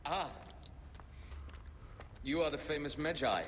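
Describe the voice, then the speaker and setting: A courteous Roman conspirator. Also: male voice, on the high side, slow, fairly bright tone, big-room sound, a little hiss or hum. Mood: polite.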